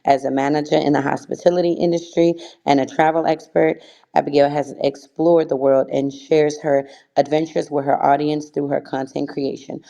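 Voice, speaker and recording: A woman's voice: deep voice, flat delivery, normal speed, creaky, warm tone, dull audio. A woman hosting a podcast reads out a guest introduction and then asks long, rambling questions. She sounds friendly and uses plenty of fillers as she talks. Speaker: podcast host. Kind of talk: casual interview hosting.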